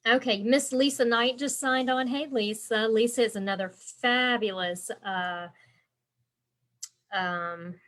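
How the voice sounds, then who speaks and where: A woman's voice: a little high, steady tone, slow pace, fairly bright tone. Chatty congested woman. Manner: chatty and rambling, answering viewer comments by name. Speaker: embroidery and quilting instructor hosting a live stream. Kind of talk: casual live-stream chat with viewers.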